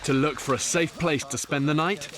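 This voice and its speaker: A man giving scripted documentary narration in a measured, informative way. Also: a little high, heavy background noise. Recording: voice-over with music underneath.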